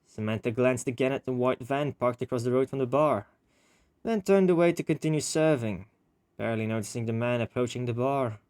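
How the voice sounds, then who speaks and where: A man's voice: mid-range voice, fairly quick, darkish tone, no room sound, clean studio sound. A man reading a game story aloud and commenting on it casually, with informal slang. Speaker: gaming host reading a visual novel aloud. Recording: music behind the voice. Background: game music with guitar.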